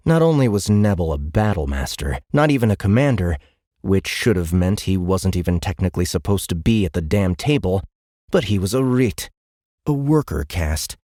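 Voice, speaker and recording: A man with a very low voice reads dramatic fiction as an audiobook narrator. The recording is dry and close. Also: a little mellow, dry recording.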